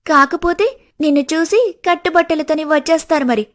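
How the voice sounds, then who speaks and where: An actress plays a young woman in a cartoon story, coy and materialistic, with a cutting tongue. Her voice is clearly high. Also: normal speed, a bit bright. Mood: scornful. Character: coy, teasing, money-minded, scornful young woman. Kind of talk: scripted cartoon story dialogue.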